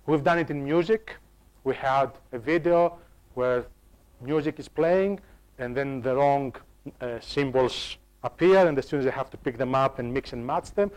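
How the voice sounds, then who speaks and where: A man of ordinary pitch giving a workshop talk on designing online courses. He speaks in a halting way, restarting phrases and doubling words, and he turns to his listeners with quick questions. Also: darkish tone, smooth. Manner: halting, restarts and repeats words while explaining; addresses the audience directly with questions. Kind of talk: live workshop talk to staff.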